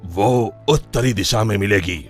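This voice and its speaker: A man voicing a solemn holy man in an animated story, giving grave, measured counsel. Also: on the low side, lightly acted. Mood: grave, advising. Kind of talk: animated moral story dialogue. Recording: music under the voice.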